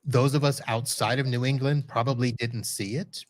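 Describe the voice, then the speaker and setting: A man hosting a podcast, chatting casually and teasing his guest in a relaxed way. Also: mid-range voice, normal speed. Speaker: podcast host. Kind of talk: casual chat.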